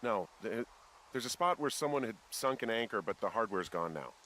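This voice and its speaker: An earnest American fire lookout in a naturalistic video game. His voice sits on the low side, conversational and a little halting, with dry humor. Clear ambient noise runs behind him. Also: expressive, a bit bright. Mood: matter-of-fact.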